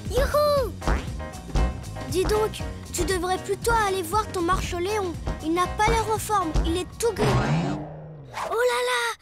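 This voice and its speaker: A woman voicing a small boy superhero in a cartoon, boastful one moment and sheepish the next, with quick exclamations. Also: extremely high pitch, sing-song, exaggerated voice. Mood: chatty. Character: small boy cosmic superhero, proud and bashful. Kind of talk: cartoon dubbing. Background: cartoon music.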